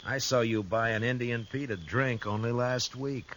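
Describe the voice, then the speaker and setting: A calm, shrewd frontier marshal, played by a man, in a 1950s radio western. He speaks dryly and firmly at a middle pitch. Faint hiss runs under the old recording. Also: normal speed, lightly acted. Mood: steady. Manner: calm, dry and firm. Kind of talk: 1950s radio drama acting.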